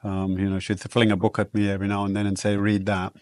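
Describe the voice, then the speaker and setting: A man from South Africa whose first language is Afrikaans, telling childhood stories in a relaxed, reflective way as a podcast guest, with frequent ums and restarts. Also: lowish voice, quick pace, no echo. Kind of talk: casual interview storytelling.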